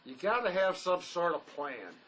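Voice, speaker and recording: A man's voice: high-pitched, very animated, moderate pace, a bit bright, full character voice, low-quality recording. A man acting an anxious, remorseful fugitive who plays peacemaker in a drama reading, earnest and pleading. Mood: defensive.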